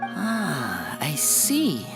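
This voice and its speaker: A level-headed adventurer, with a low voice that still moves expressively. She sounds calm and thoughtful, in video game style, over clear music. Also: drawn-out words, full character voice.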